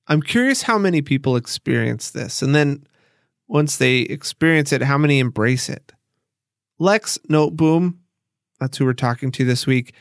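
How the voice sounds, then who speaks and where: A man hosting a podcast, reading scripted narration in a measured way with deliberate pauses and emphasis. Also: a little high, dry recording, studio quality. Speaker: podcast host and interviewer. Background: music.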